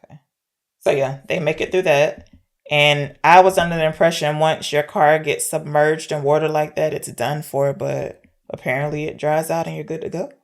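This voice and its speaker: A woman's voice: low voice, flat delivery, moderate pace. Woman casually recapping a movie. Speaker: podcast co-host discussing films. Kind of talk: casual chat recapping a film.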